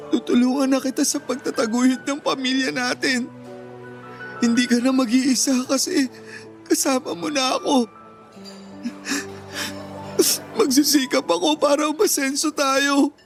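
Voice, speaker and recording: A man's voice: very high-pitched, vocal fry, heavily acted. A man with a Filipino accent playing a sorrowful, devoted younger brother in a radio drama. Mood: earnest, tearful. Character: grieving, remorseful younger brother, earnest and devoted.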